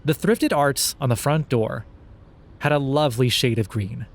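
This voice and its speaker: A man reading scripted dark fiction as a podcast narrator, in a measured, deliberate way with dramatic pauses. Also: medium pitch.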